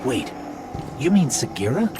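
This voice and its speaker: Game role: loyal, wary robot guide, earnest and expressive, loud soundtrack underneath. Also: male voice, medium pitch, unhurried. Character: loyal, suspicious floating robot companion, earnest and protective. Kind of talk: video game cutscene acting. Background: loud background music.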